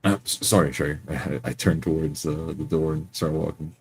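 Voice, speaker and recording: A man improvising first-person narration as a tabletop roleplay player, speaking in a halting, hesitant way. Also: rumbling low, fairly even intonation, husky.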